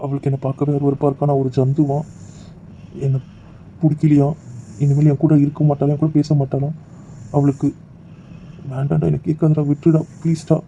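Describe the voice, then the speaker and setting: An actor playing a heartbroken young husband whose wife has rejected him, pleading and despairing, on a dull lo-fi recording with faint noise. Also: male voice, fairly high pitch, little pitch movement, a little fast, long pauses, warm tone, lightly acted. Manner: pleading, despairing, voice breaking into raised anguish. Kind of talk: emotional drama acting.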